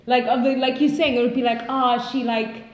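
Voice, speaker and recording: A woman's voice: on the high side, flat delivery, fairly quick, lo-fi sound. Reflective woman, echoey room. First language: not English. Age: 20s. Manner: earnest, reflective, searches for words. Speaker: podcast guest. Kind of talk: casual conversation. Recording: roomy echo.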